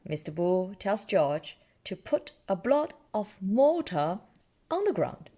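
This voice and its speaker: A woman storyteller reading children's picture books aloud in an expressive, deliberate way, adding her own small asides. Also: medium pitch. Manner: expressive, deliberate delivery for toddlers. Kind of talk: children's read-aloud narration with ad-libbed asides.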